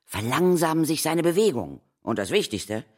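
A stern older ghost hunter in a children's audio drama. The mid-pitched voice is measured and formal, admonishing with a careful, protective firmness. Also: very animated. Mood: firm, explaining. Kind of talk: children's audio play acting.

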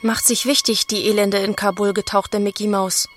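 An actress in a German radio play as a sardonic inner voice, narrating in terse, pointed phrases. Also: female voice, medium pitch, level tone, moderate pace, over loud music, close to an everyday voice. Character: sardonic female inner voice narrating a young woman's thoughts.

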